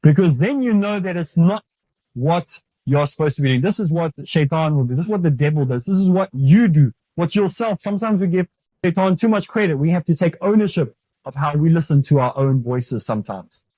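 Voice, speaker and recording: A man's voice: a little high, animated, brisk, mellow, no room sound. A man hosting a religious series, preaching in an emphatic, repetitive way that urges the listener directly.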